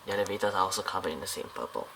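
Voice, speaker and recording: A man's voice: low-pitched, expressive, racing, gravelly, noisy background. A man casually reviewing a scale model airliner up close, talking in a loose, rambling way with many fillers and restarts.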